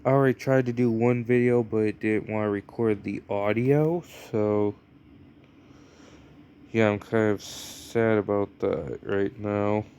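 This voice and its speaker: A man's voice: fairly low pitch, a little slow, darkish tone, slight background noise. A young American man casually commenting on a mobile game he is playing, rambling and hesitant with lots of fillers. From United States. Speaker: gameplay commentator.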